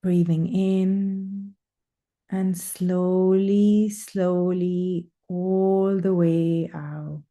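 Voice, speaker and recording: A woman leading a guided breathing meditation, speaking in slow, soothing, drawn-out phrases with long pauses. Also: lowish voice, little pitch movement, warm tone.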